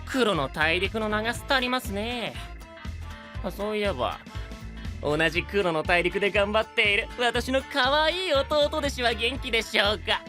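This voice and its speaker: An actor playing a courteous but sly and teasing young knight with mock-sweet melodrama, in an anime audio drama. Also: male voice, sits high, a little slow, pushed and bright, soft background music. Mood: playful.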